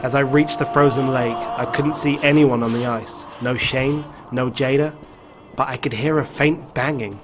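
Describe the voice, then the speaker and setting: A man's voice: mid-range voice, fairly even intonation, moderate pace, music in the background, natural voice. A man playing a haunted, guilt-ridden middle-aged father narrating a horror story in a game. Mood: grim, haunted. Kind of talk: dramatic first-person story narration.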